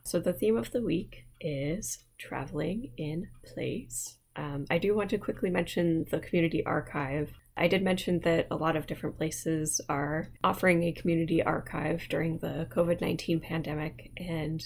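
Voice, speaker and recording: An American woman with a low voice and plenty of gravelly fry hosts a relaxed online library session. She talks in a chatty, friendly way, full of little asides and fillers, as if speaking straight to her webcam. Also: moderate pace, hardly any pauses. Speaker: library program host. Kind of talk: casual online library talk.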